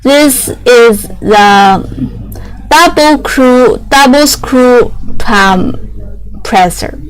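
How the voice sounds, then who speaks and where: Slow-talking woman with Chinese-accented English. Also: fairly high pitch, lively intonation, a little hiss or hum, low-quality recording. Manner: halting, simple English with many fillers and restarts. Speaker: factory machinery guide. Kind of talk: explaining factory equipment.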